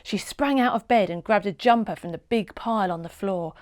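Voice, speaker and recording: A woman narrating a children's storybook in an expressive, dramatic read-aloud style with deliberate phrasing. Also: mid-range voice, dry recording, studio quality.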